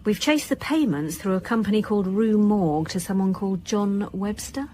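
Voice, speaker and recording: A woman's voice: medium pitch, moderate pace, warm tone, lightly acted. A woman acting a dry, sceptical detective chief inspector with a clipped, questioning manner in a radio drama. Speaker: actor playing police detective. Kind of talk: naturalistic radio drama dialogue.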